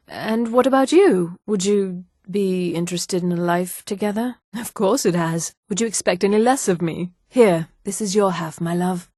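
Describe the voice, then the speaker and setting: Fantasy game high elf woman, played by an actress: haughty, poised, articulate, theatrical, mid-pitched, dry studio sound. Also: a little mellow, no echo, lightly acted. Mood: warm, affectionate, then proud, flirtatious. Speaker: video game voice actor. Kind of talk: acted video game dialogue.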